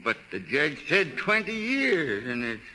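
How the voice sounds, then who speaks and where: Humble old rural American: folksy, halting, very sharp and forceful voice, lively pitch, 1930s broadcast. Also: male voice, long reverb tail, a little hiss or hum, exaggerated voice. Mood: humble, bewildered. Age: older. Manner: folksy, halting, repeats himself. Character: elderly rural man, humble, just freed from prison. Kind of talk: 1930s American radio drama acting. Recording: old 1930s radio broadcast, faint music.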